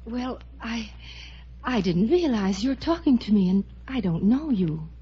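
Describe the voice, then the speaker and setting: An actress playing a romantic, playful young showboat captain's daughter in a 1950s radio musical, with wistful theatrical delivery. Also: female voice, on the high side, animated, measured pace, husky, full character voice. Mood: playful, coy. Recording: muffled 1950 radio broadcast, orchestral music.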